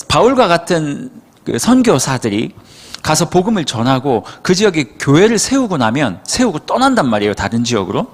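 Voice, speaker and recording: A man from Seoul, a youth pastor, preaching a sermon in a relaxed, conversational way and often checking that listeners agree. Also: a little high. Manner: conversational, asks the room for agreement. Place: church hall. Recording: long hall reverb.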